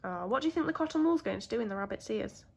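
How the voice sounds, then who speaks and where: A woman's voice: a little high, expressive, rapid-fire, creaky, a little mellow, slightly rough. A woman with a British accent, a primary school teacher telling a story expressively to young children.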